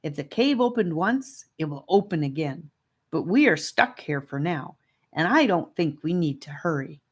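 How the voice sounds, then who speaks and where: A woman voicing an eager, sly, excitable young boy in a children's audiobook. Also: low voice, normal speed, slightly rough. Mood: urgent, persuasive.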